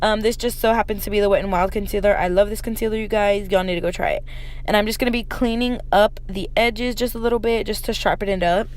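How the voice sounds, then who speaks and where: A young woman with a slightly raspy voice, chatting informally to viewers through a casual makeup tutorial. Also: medium pitch, steady tone, quick pace, a little mellow. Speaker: beauty vlogger. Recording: noticeable background noise.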